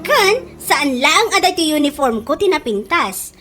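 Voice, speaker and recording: A woman's voice: high voice, lively intonation, a little slow, fairly bright tone, faint music behind. A woman with a Filipino accent playing an eager, grateful young student and little sister in a radio comedy drama. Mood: cheerful. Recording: faint background noise.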